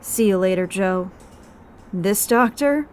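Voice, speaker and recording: A woman playing an earnest, self-doubting female cat doctor in an audio drama, with a halting, melodramatic delivery. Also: mid-range voice, a little hiss or hum, lightly acted. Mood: resolved.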